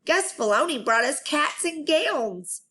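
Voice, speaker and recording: A woman voicing an excitable, insistent little girl, a storybook best friend who speaks loudly and repeats herself. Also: high voice, takes it slowly, voice pushed up, exaggerated voice. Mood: thrilled.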